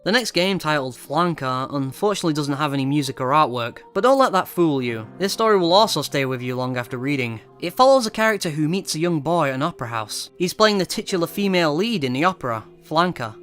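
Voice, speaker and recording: A man's voice: fairly high pitch, fairly bright tone. A man reading a scripted video game review as a voice-over. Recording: voice-over with music behind. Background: music.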